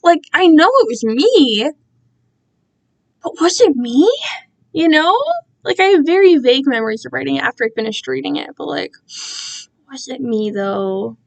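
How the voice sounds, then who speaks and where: High-voiced, rambling young woman chatting casually. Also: expressive, normal speed, vocal fry, long pauses. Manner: rambling, self-deprecating, giggly internet slang. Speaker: amateur writer reading own fan fiction. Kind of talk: casual livestream chat.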